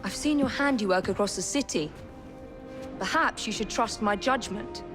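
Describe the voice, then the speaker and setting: A woman's voice: mid-range voice, normal speed, over music, natural voice. A woman with an English accent playing a poised, sardonic young Victorian assassin, formal and dryly sarcastic. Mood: stern rebuke. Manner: crisp, dry sarcasm, formal. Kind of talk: game cutscene dialogue.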